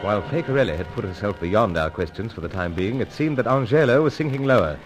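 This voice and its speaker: Low-voiced, animated secret agent played by an actor. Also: male voice, quick pace, over music, close to an everyday voice. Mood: dramatic narration. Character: tough, hard-driving male British secret agent and narrator. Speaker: lead actor and narrator. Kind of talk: old-time radio adventure serial acting and narration.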